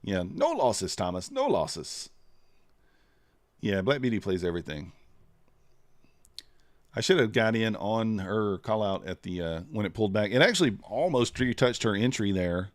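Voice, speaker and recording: A man's voice: fairly low pitch, very animated, moderate pace, pauses often, no echo. A man streaming live, chatting casually and thinking aloud with many fillers as he trades. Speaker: streamer trading futures live.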